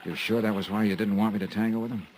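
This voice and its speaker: A man's voice: fairly low pitch, fairly even intonation, quick pace, a little mellow, natural voice, rough recording. A man playing a hard-boiled radio investigator. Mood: wary. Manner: dry, terse, hard-boiled. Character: tough wisecracking male private insurance investigator. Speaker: insurance investigator and narrator. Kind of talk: 1950s radio drama acting and narration. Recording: old radio transcription with faint hiss.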